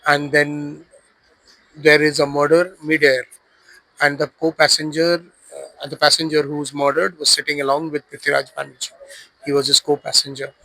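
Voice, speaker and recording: A man, an author describing his book in a hesitant, self-deprecating way with many pauses. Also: a little high, level tone, creaky, bright, forceful tone, dry recording, rough recording. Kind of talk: casual book pitch.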